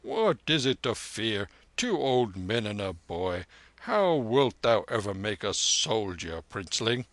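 A man voicing an old, gruff and hearty veteran soldier with blunt, archaic speech in a storybook reading. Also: on the low side, very animated, takes it slowly, a bit bright, no room sound, heavily acted. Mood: soothing.

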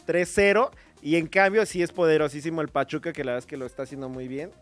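A man co-hosting a football talk show, opinionated and animated. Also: fairly high pitch, fast talker, soft background music. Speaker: sports show co-host. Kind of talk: casual sports talk.

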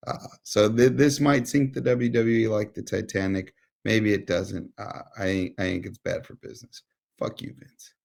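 The low-voiced American co-host on a comedy debate podcast, making wry, halting, sweary points with a creaky rasp of vocal fry. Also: male voice, fairly even intonation, a little mellow.

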